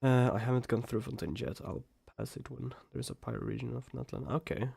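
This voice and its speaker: A man's voice: mid-range voice, fairly even intonation, fairly quick, gravelly, a little mellow, breathy edge, no echo. A man with a Swedish accent chatting casually on a gaming livestream, hesitant and rambling as he thinks aloud. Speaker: live streamer.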